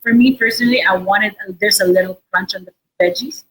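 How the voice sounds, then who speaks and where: A woman chef with a Filipino accent giving a casual cooking demonstration in an informal, halting, explanatory way. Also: medium pitch, a bit bright, rough recording. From Philippines. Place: indoor restaurant space behind a counter. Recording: noticeable background noise and room ambience.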